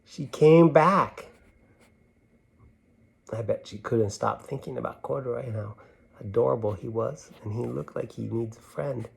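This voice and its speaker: A man reading a children's picture book aloud, gently, with chatty asides as if talking to young children. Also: medium pitch, a little slow, vocal fry, long pauses, husky. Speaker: storybook reader.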